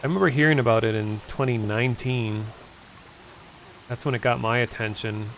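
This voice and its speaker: Hoarse, gravelly male game streamer. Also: medium pitch, fairly quick, a little hiss or hum, poor audio. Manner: relaxed, easygoing, answers chat questions. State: hoarse, losing his voice. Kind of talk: casual gameplay commentary, reading chat.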